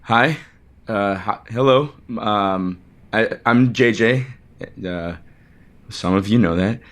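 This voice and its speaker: An actor playing an anxious, defensive, resentful chief executive in an audio soap opera, scattered and stammering. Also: male voice, fairly low pitch, takes it slowly, fairly bright tone. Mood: flustered, nervous. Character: anxious, defensive male CEO, rambling, resentful younger brother type.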